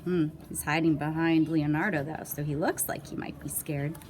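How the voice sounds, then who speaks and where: A woman's voice: deep voice, steady tone, moderate pace, a little mellow, slight background noise. A woman reading a children's picture book aloud with playful, dramatic emphasis and little asides. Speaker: read-aloud storyteller.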